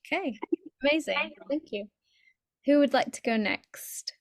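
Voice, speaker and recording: A woman's voice: high-pitched, animated, measured pace. A woman hosting an online group on a video call, upbeat and effusive as she invites people to introduce themselves.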